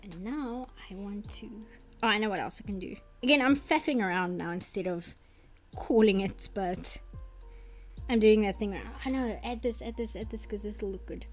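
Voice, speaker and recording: A woman's voice: mid-range voice, moderate pace. Chatty South African woman artist streamer. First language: Afrikaans. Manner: chatty and rambling, muttering to herself as she works. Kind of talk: casual chat while drawing on stream. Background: faint background music.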